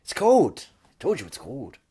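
A Welsh man trading absurd jokes and deadpan boasts in comic banter. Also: mid-range voice, moderate pace. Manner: playful, deadpan boasting, silly wordplay.

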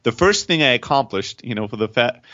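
A startup founder in his thirties, originally from Greece and raised in Germany, talks through his story with restless energy and keeps restarting his phrases. His voice sits at an ordinary middle pitch. The audio is a little dull and lo-fi. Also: fairly quick. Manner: energetic, frequent restarts and repeated words. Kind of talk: candid interview storytelling.

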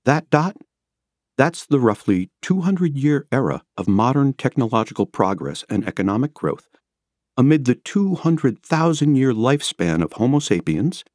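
A man reading nonfiction as a scripted audiobook narration, with measured, rhetorical and emphatic phrasing. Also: mid-range voice, very animated, darkish tone, dry recording, studio quality. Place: close, dry studio recording.

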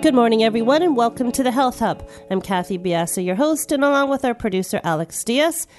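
A woman's voice: a little high, expressive, fairly quick, over music. A woman hosting a radio health talk show, nasal from the tail end of a cold and talking in a chatty, upbeat way. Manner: warm, chatty, upbeat and welcoming.

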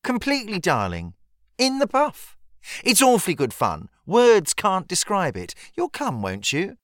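Man voicing an excitable young woman student, pitched up. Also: unhurried, dry recording, clean studio sound, exaggerated voice. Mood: gushing, conspiratorial. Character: eager, conspiratorial young female college student, confiding and bossy. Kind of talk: acted audiobook dialogue.

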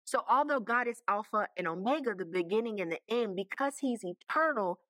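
A woman teaches from the Bible in a relaxed, conversational way and often stops to ask her listeners "okay?" Her voice sits at a middle pitch. The recording is dry and close, with no room sound. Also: a little fast, no room sound. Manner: conversational, keeps checking in with "okay?" and "right?".

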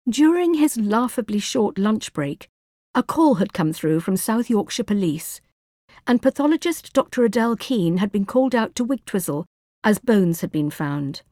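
A woman narrating fiction as an audiobook reader, in a measured, expressive storytelling manner. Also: mid-range voice, no room sound, clean studio sound.

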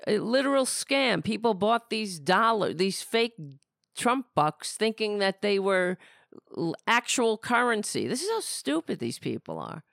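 A woman's voice: mid-range voice, sing-song, unhurried, gravelly, a bit bright, no room sound, studio quality. A middle-aged woman hosting a podcast, losing her voice, talking in a rambling, sardonic, blunt way. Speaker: podcast host. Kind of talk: casual political commentary.

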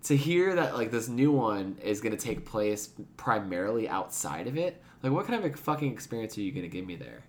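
A man's voice: on the low side, big pitch swings, brisk, hardly any pauses, darkish tone. A man co-hosting a podcast, talking through his opinions in a casual, reflective discussion with some background noise. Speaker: podcast co-host.

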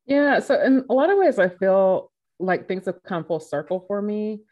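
A woman in her forties, a podcast guest answering questions in a lively, conversational way with frequent laughs. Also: mid-range voice, moderate pace, highs cut off. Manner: animated, laughs while telling stories.

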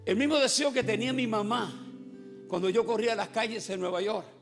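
A man's voice: high-pitched, fairly quick, a bit bright. A middle-aged man, preaching a joking testimony sermon and mixing in English, over guitar music. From Puerto Rico. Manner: joking, storytelling, mixes in English. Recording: guitar music playing behind.

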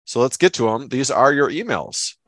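A man's voice: medium pitch, sing-song, normal speed, over music. A man co-hosting a podcast in casual chat, sounding stuffed up and talking in an enthusiastic, rambling way. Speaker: podcast co-host.

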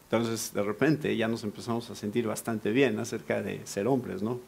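A man from Mexico with a Mexican Spanish accent, preaching a lively sermon and asking the congregation questions. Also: fairly low pitch, normal speed, gravelly, slight background noise. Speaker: pastor.

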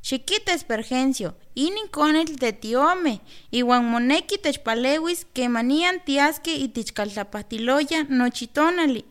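A woman's voice: high-pitched, animated, measured pace, few pauses. A woman playing an outspoken, helpful village neighbour in an educational radio drama, advising insistently with a Mexican accent. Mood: earnest.

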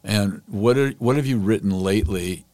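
A man with a low voice hosts his podcast in an enthusiastic, encouraging way. The recording is dry and close. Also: normal speed, a little mellow, no room sound. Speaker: podcast host. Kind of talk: conversational interview.

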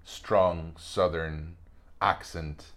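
A man's voice: deep voice, fairly even intonation, extremely slow, fairly bright tone. A man speaking as a guest in a casual interview, telling stories and reflecting openly with frequent restarts. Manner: reflective, candid, full of restarts.

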